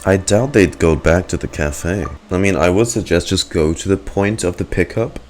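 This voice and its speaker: A man with a deep voice reacts casually to a video game as a streamer. His commentary is informal, with faint game music behind it. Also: normal speed.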